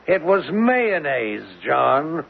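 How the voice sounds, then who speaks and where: An American actor in a 1970s radio drama, playing a blustering, sarcastic, exasperated oil drilling boss with a gravelly, rough-edged voice. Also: male voice, on the high side, big pitch swings, very slow, exaggerated voice. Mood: dry, pointed. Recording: tinny narrowband 1970s radio recording.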